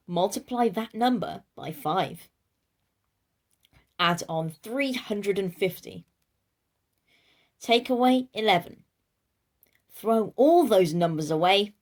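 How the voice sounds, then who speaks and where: A woman reading a children's storybook aloud in a playful, theatrical way, speaking directly to her listeners. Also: on the low side. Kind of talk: animated children's storybook narration.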